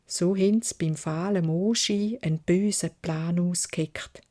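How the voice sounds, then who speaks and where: A woman's voice: on the low side, unhurried, mellow. A woman with a Swiss German accent narrating a fairy tale for children in dialect, as a storyteller.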